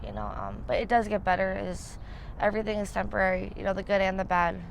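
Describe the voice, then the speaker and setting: A woman's voice: medium pitch, expressive, quick pace, creaky, a little hiss or hum. A woman in her twenties from upstate New York, answering a street interview in a rambling way with many fillers. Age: 20s.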